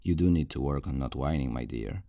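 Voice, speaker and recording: A man's voice: rumbling low, lively intonation, creaky, warm tone, no echo, clean studio sound, exaggerated voice. A man playing a possessive, falsely tender kidnapper in an intimate ASMR roleplay, coaxing and condescending with veiled menace. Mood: pleased, coaxing. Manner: slow, coaxing, condescending endearments with veiled threats.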